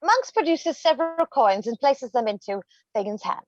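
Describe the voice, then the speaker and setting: A woman's voice: sits high, expressive, normal speed. A woman narrating stage directions for a scripted play reading, on a muffled, lo-fi microphone.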